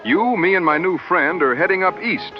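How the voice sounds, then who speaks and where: An actor playing a confident, plainspoken American frontier hunter with laconic, folksy dry humor in a western audio drama. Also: male voice, sits high, lively intonation, normal speed, muffled recording, music in the background. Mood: wry, easygoing.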